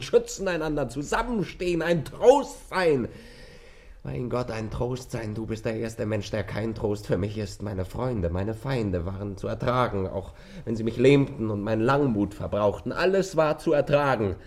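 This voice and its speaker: An actor playing a bitter, sardonic lover in a German radio play, speaking eloquently with mocking, theatrical intensity. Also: male voice, mid-range voice, darkish tone, lightly acted. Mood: anguished, defiant. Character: bitter, sardonic lover who refuses intimacy and consolation. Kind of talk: German radio play acting, literary dialogue.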